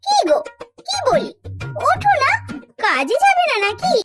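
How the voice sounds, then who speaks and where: A woman's voice: huge pitch swings, a little slow, over music, heavily acted. A woman voicing a bossy young wife in a cartoon, scolding her husband. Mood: nagging. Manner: scolding, shrill. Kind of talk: cartoon voice acting.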